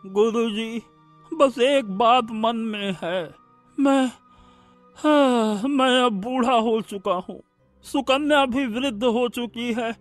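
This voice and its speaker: A man's voice: extremely high pitch, fairly bright tone, heavily acted. A man playing a devoted elderly disciple in a cartoon story, humble, reverent and earnestly pleading.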